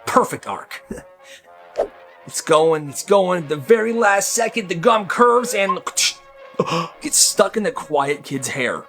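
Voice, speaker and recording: Man, comedic short-video storyteller, high voice, very lively delivery, music underneath. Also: slow. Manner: exaggerated, acts out characters' lines.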